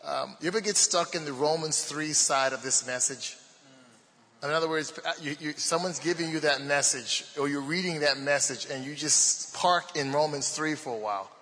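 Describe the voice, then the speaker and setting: Baltimore pastor, bright, teaching. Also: male voice, a little high, moderate pace, long reverb tail, rough recording. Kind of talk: panel discussion, preaching.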